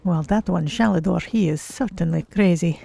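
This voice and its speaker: A woman voicing a mild, thoughtful cat-person mage in a measured, formal way, role-playing through a game stream. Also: lowish voice, gravelly, mellow. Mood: musing. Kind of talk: character-voiced game stream roleplay.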